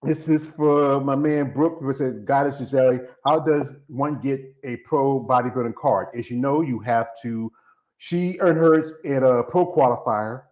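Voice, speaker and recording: A man's voice: mid-range voice, measured pace, a little mellow, lo-fi sound. A man from the American South with a Southern accent, hosting a casual interview in a loose, joking way and repeating himself. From United States. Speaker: interview host.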